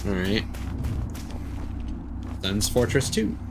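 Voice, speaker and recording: A man's voice: fairly low pitch, big pitch swings, takes it slowly, noisy background. A man doing casual live game commentary, chatting with viewers and rambling as he thinks aloud. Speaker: live streamer playing a video game.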